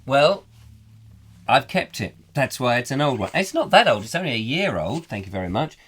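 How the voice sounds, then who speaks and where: A man hosting a comic live chat show, playful and silly, reading out viewer comments in a croaky voice. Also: mid-range voice, sing-song, normal speed, fairly bright tone. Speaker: host.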